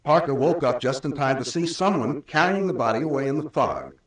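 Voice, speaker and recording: An actor playing a shrewd, authoritative police captain in an old-time radio drama, explaining things deliberately and emphatically. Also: male voice, mid-range voice, very animated, natural voice. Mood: explanatory, grave. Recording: roomy, distant old radio recording.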